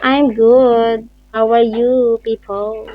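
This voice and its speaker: A woman's voice: fairly high pitch, level tone, takes it slowly, muffled recording. A woman in her thirties from the Philippines, chatting on a video call and asking questions in an upbeat way. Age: 30s. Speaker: stranger on a video chat. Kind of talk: casual video chat.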